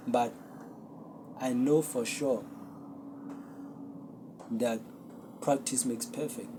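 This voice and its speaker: A young man, a Zulu speaker, hosting his own podcast and talking earnestly and reflectively to a camera with many pauses. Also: mid-range voice, background noise. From South Africa. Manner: slow, earnest, self-searching, with pauses. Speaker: podcast host. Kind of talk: solo reflective talk to camera.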